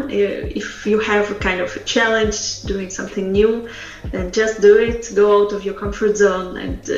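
Woman, roomy dull recording. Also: mid-range voice. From Russia. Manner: earnest, thoughtful, with frequent fillers and restarts. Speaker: guest, communication coach. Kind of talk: podcast interview.

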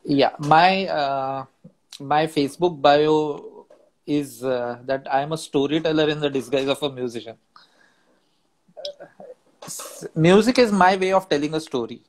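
A man in his forties with an Indian English accent, a native Marathi speaker, talking as a guest on a video call through an earphone mic, thoughtful and pausing often. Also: a little high, slight background noise, poor audio. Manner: thoughtful, hesitant with many uh fillers and restarts. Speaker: musician and storyteller guest. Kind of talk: reflective conversational interview. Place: home study with bookshelves, indoors. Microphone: wired earphone mic on video call.

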